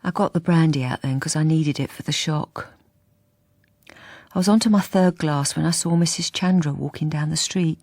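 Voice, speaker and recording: An actress playing a troubled middle-aged British wife who speaks hesitantly and confidingly in a radio drama. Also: female voice, sits low, moderate pace, a little mellow. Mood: wry, confiding. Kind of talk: intimate first-person radio drama narration and dialogue.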